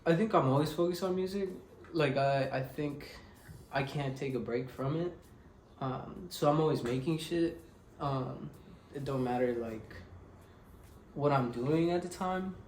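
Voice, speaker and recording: A man's voice: medium pitch, unhurried, a little mellow, reverberant, slight background noise. A young man from Mexico answering interview questions in a laid-back, rambling way with many fillers. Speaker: interviewed musician.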